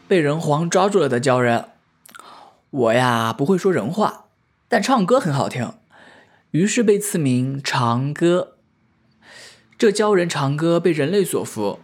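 A man's voice: on the high side, lively intonation, a little slow. An actor playing an eager, playful young male film star known for bad acting, in an audio drama. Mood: eager, explaining. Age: young adult.

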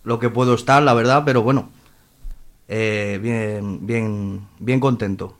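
A man's voice: on the low side, steady tone, unhurried. Hesitant young man with a Madrid accent. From Madrid, Spain. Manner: hesitant, repeats words, frequent tag questions. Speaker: interview guest. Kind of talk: informal personal interview answers.

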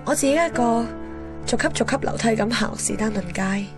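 A woman's voice: on the high side, lively intonation, fairly quick, over music, close to an everyday voice. An actress playing a candid, guarded young woman with a Hong Kong accent, frank and composed in a radio drama. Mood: reflective, resigned. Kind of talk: radio drama narration and dialogue.

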